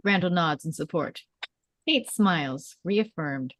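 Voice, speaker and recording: A woman's voice: fairly low pitch, animated, measured pace, no echo. A woman reading stage directions aloud as scripted narration at a script table read.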